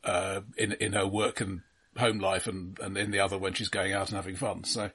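A middle-aged British man co-hosting a casual film discussion in a measured, analytical way with careful qualifications. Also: low-pitched, little pitch movement, fairly bright tone, husky. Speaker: film discussion podcast co-host.

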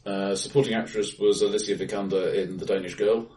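A man with a lowish voice chats about films as a podcast host, measured and analytical with some hesitation. He sits in an echoey, bright-sounding room, some way from the mic. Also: steady tone, far from the mic. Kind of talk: casual film discussion. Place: indoors, reverberant room, face to face.

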